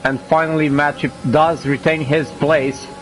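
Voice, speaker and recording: A man with a cold commentating a sim racing league broadcast, rising in pitch when the action heats up. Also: little pitch movement, unhurried, a bit bright, faint music behind, low-quality recording. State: has a cold. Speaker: race commentator.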